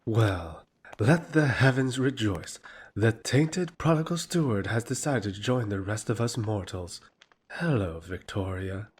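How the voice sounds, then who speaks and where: A man's voice: fairly low pitch, animated, a little slow, husky. A man playing a smug, scheming aristocrat in a fantasy audio drama, pompous and mockingly courteous. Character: smug, scheming aristocratic male rival lord, honey-tongued.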